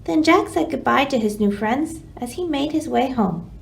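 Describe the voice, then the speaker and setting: A woman hosting a library storytime, reading a children's picture book aloud in an expressive, dramatic way. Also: high-pitched, moderate pace, vocal fry, slight background noise.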